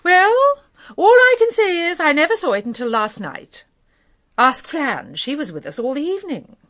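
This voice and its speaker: A woman's voice: high-pitched, big pitch swings, normal speed, fairly bright tone. An actress playing a chatty, gossipy married woman in a radio mystery, airy, rambling and breezily opinionated. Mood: emphatic, indignant. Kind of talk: British radio mystery drama.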